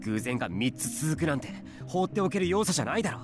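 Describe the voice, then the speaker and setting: A male actor playing an earnest, insistent teenage schoolboy sleuth in a Japanese visual novel, speaking deliberately. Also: on the high side, sing-song, over music.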